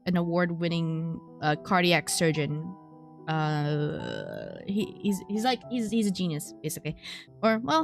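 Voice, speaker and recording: Female streamer reading over soft music. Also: on the low side. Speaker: streamer, VTuber. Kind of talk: game playthrough, reading story text aloud with casual commentary. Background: game music.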